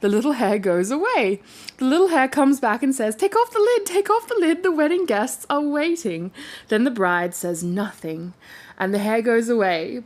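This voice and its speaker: A woman hosts a casual storytelling channel with a fairly high voice. Her manner is playful and giggly, and she talks informally to her viewers. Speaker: host of a story-reading channel.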